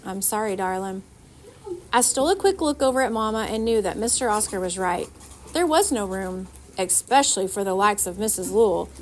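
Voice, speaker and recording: A woman narrating a children's novel aloud in an expressive storytelling style. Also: a little high. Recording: faint background noise.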